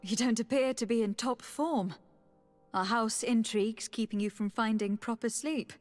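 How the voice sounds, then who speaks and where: A woman's voice: a little high, fairly even intonation, soft background music, lightly acted. Woman as a composed, diplomatic young noblewoman. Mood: calm, concerned. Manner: measured, formal, polite. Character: composed, diplomatic young noblewoman, loyal envoy to her cousin. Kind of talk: video game cutscene acting.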